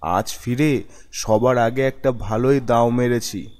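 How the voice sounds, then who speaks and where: A man puts on a sly young thief from a village ghost cartoon. He talks to himself in a mid-pitched voice, smug, callous and scheming. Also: slow pace, a little mellow, close to an everyday voice. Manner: smug, scheming self-talk. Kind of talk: amateur cartoon villain monologue.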